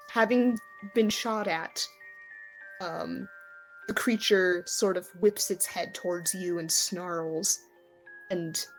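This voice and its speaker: A woman game master narrating a tabletop game in halting, deliberate phrases. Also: fairly low pitch, low-quality recording.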